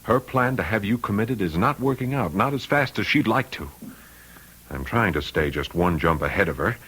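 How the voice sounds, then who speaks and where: A man's voice: fairly low pitch, expressive, normal speed, a bit bright, husky, low-quality recording. An actor playing an earnest, protective man in an old-time radio mystery, speaking in an insistent, emphatic way. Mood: grave, urgent. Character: earnest, urgent, protective male confidant.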